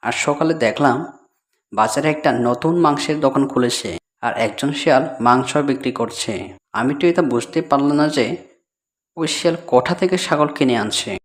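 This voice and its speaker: A man voicing a poor, earnest father fox in an animated cartoon, with music behind. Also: mid-range voice, moderate pace, close to an everyday voice. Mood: puzzled, reasoning. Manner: earnest, pleading.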